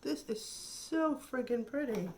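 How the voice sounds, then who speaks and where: A woman's voice: on the high side, animated, slow pace, a little mellow, slight background noise. A woman chatting casually through a makeup tutorial, thinking aloud and admiring the colors, her voice a little nasal from a cold. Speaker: beauty vlogger.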